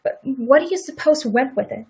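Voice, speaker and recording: A woman's voice: high-pitched, expressive, normal speed, darkish tone, breathy edge, heavily acted. A woman playing a rueful, blunt farmer's wife with folksy rural speech in a live radio play. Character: farmer's wife Mrs. Hale, regretful, blunt, protective neighbour.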